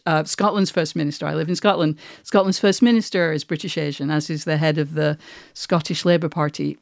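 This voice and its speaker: A woman hosting an interview podcast, phrasing her points and questions in a measured, articulate way. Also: deep voice, a little mellow, no echo, clean studio sound.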